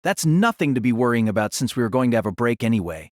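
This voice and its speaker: A man voicing a calm, polite young male martial artist in an audio drama. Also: medium pitch, fast, dry recording, clean studio sound, lightly acted. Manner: composed, polite. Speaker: voice actor. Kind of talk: fan audio drama acting.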